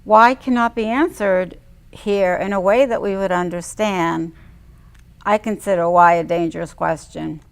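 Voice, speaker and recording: A woman's voice: fairly low pitch, a little slow, creaky. An older woman sharing poetry and conversation as a show's co-host. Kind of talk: poetry reading and casual chat.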